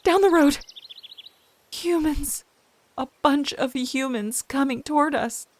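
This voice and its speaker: A woman's voice: sits high, slow pace, no echo. A woman playing an anxious, emotional young daughter with an exclamatory manner in an old-time radio drama. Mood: excited.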